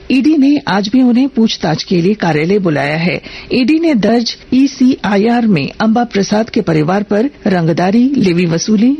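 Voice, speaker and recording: A woman reading a scripted radio news bulletin in a formal, measured manner. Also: medium pitch, gravelly, husky, muffled recording.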